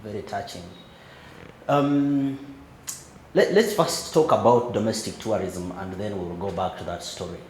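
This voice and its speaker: A male podcast host with a somewhat low voice guides the conversation, prompting his guest gently and murmuring agreement as he listens. The room echoes noticeably, with a faint hum behind him. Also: measured pace. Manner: encouraging, frequent backchannel "mm", gentle prompting. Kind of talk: interview hosting.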